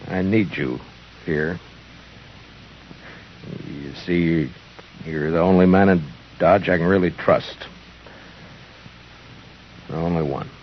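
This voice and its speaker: A gruff american western lawman, low voice, terse and tired, muffled old radio drama. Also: a little hiss or hum. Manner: terse, commanding, halting when tender. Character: tough, weary, gruff frontier marshal. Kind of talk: 1950s radio western acting.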